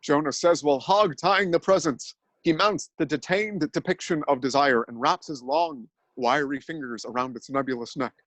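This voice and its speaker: A man narrating a scripted dramatic reading, telling absurd events in an earnest, deadpan way. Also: on the high side, moderate pace, few pauses, no room sound.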